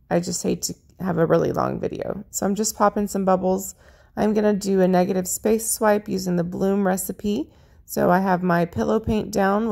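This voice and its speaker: A woman narrating her paint pouring video in a chatty, friendly way, her voice a little raspy. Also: mid-range voice, dark tone. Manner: chatty, friendly, lists colors as she works. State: slightly raspy voice. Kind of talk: casual how-to narration over craft footage.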